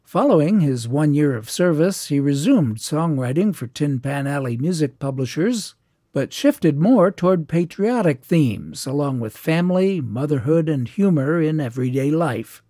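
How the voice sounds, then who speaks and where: A male radio host narrates from a script and reads out dates and details at an even, measured clip. His voice sits a bit high for a man and moves in a lively way. The sound is warm and dry, with no room around it. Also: no echo. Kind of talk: scripted record-show narration.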